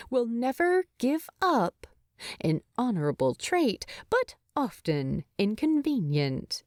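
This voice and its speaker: A woman reading a story as an audiobook narrator, expressive and dramatic, close to the microphone. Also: fairly low pitch, dry recording. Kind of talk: expressive scripted fiction narration.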